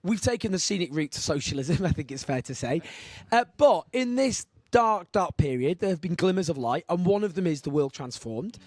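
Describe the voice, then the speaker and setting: A man in his thirties hosting a live chat show, with a husky voice and a rambling, self-mocking way of talking. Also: on the high side, expressive, brisk, darkish tone, no room sound. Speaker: host.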